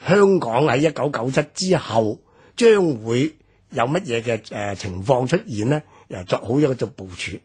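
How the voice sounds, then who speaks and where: A man's voice: mid-range voice, animated, moderate pace, darkish tone, slightly rough. A man in his sixties from Hong Kong, with a Hong Kong Cantonese accent, explaining history on a radio talk show and padding his sentences with fillers. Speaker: guest commentator.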